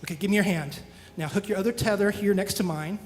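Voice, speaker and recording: A man's voice: high-pitched, fast talker, close to an everyday voice. A man playing a nervous, apologetic but resourceful young space traveler, hesitant and stammering, in a live radio drama. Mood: urgent, anxious. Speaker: radio theatre actor. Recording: noisy live hall with long reverb.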